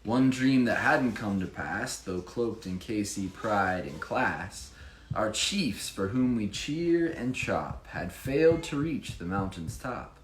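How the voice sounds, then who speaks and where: A low-voiced man reads a children's rhyming book aloud as its author, swinging his pitch widely for emphasis. He takes his time and adds informal asides. The room is very echoey. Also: animated, slow. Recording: echoey room, faint background noise.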